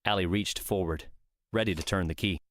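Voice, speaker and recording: A man's voice: deep voice, brisk, a bit bright. A man reading scripted story narration for an audiobook.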